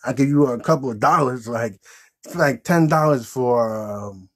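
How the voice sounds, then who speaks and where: A man hosts a puppet show in a casual, improvised way. His voice is husky and unpolished, that of someone in his thirties. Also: medium pitch, normal speed, a bit bright. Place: small indoor room with green backdrop.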